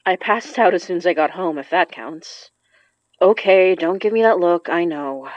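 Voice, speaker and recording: An actress playing a young woman who works magic, tired, wry and protective, with a brisk, matter-of-fact delivery in a naturalistic audio drama. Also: on the low side, a little mellow, no echo, dull audio, lightly acted. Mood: defensive, weary.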